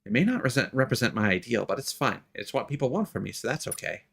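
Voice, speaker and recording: A man's voice: medium pitch, big pitch swings, quick pace, vocal fry, fairly bright tone, full character voice. A man voicing an earnest, driven young female musician while reading anime game dialogue aloud. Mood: resigned.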